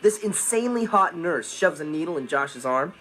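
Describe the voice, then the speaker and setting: A man's voice: on the high side, animated, normal speed, poor audio. A young man with an American accent playing a cocky, mischievous teenage stepbrother in a sitcom monologue, teasing and exaggerating. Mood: amused, teasing. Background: loud music.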